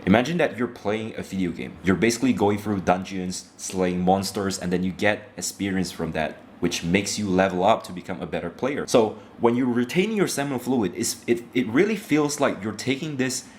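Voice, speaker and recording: A young man lectures his viewers in a blunt, profane rant, calling them "bro". His voice sits low, and a faint hiss runs under it. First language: Indonesian. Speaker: self-improvement vlogger.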